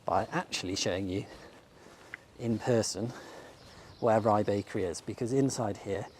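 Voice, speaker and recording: Man, casual walking vlog, chatty and enthusiastic, slightly hoarse, handheld camera outdoors, faint street ambience. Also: lowish voice, normal speed, a little mellow, slight background noise. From England. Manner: chatty and enthusiastic, with frequent ums. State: slightly losing his voice. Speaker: bookshop owner and vlogger. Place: outdoors on a sunny sloping shopping street. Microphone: handheld camera filming himself while walking.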